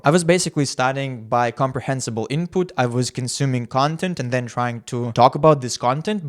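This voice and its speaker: A young Russian man whose first language is Russian, speaking English with an American-sounding accent in a chatty, candid vlog. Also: medium pitch. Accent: American-sounding English learned as a second language. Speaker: language-learning YouTuber. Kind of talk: casual talking-head vlog.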